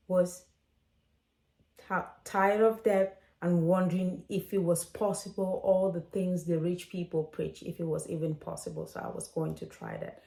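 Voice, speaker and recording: A chatty woman from Cameroon in her late twenties talks straight to her viewers, calling them "guys." Her voice sits a little low and sounds mellow and soft-edged. The room around her gives it a noticeable echo. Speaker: financial coach and host. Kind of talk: casual to-camera advice vlog.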